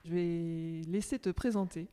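A woman hosting a podcast, reading a scripted introduction and then interviewing a guest in a relaxed way with many fillers. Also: lowish voice, unhurried, clean tone. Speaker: podcast host.

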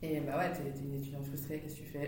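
A woman in her thirties, a startup founder, telling her story as a podcast guest in a lively way with frequent laughs. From Netherlands. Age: 30s. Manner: animated, laughs often, talks fast in bursts. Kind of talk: casual interview storytelling. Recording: echoey room, distant from the mic, faint rumble.

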